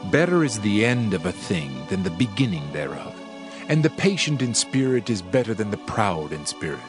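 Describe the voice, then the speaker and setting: An actor playing a solemn, reflective preacher of ancient wisdom, reading scripture in a measured, declamatory way for a dramatized audio Bible. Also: male voice, on the low side, very animated. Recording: music under the voice. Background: background music.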